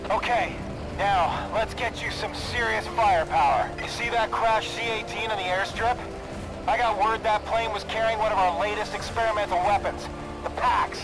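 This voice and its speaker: A man's voice: high-pitched, fairly even intonation, moderate pace, music in the background, close to an everyday voice, poor audio. A man voicing an easygoing, loyal military pilot talking over the radio in a shooter game, casual and confident. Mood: upbeat, instructing.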